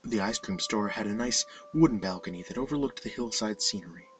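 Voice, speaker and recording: Low-voiced man narrating horror over music. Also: a little fast, far from the mic. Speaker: story narrator. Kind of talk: scripted horror story narration.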